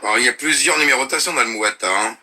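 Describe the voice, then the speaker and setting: A man co-hosting a heated live-stream debate. He mocks the other side and gives orders, often trailing off quietly. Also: mid-range voice, very fast, background noise. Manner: mocking, bossy, trails off quietly.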